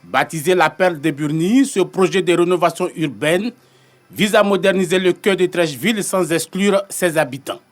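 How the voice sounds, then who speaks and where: High-pitched male news reporter. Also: moderate pace, faint music behind. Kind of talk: scripted report narration.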